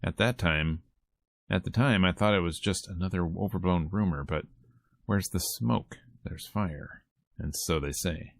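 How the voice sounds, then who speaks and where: A man's voice: low-pitched, measured pace, vocal fry, no room sound, studio quality. A man streaming a visual novel, reading its text aloud and breaking off into casual, wry asides. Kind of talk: reading game dialogue aloud with casual commentary.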